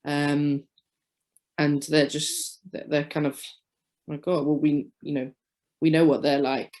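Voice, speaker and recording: A woman sharing her story as a guest on a video call, halting with many restarts. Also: deep voice, level tone, darkish tone. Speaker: webinar guest.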